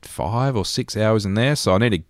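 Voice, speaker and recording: A man with a notably low voice talks casually about games as a podcast co-host. He explains things plainly and matter-of-factly, recorded dry with no room around him. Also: normal speed, no echo. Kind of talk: casual gaming podcast chat.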